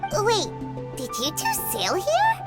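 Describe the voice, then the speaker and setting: A tiny fairy girl from a video game, extremely high and squeaky. The delivery is blunt and excitable, swooping wildly over background music. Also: slow pace, heavily acted. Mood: baffled. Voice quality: squeaky, childlike. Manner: excitable, exclaiming. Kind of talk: animated video game voice acting. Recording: music behind the voice.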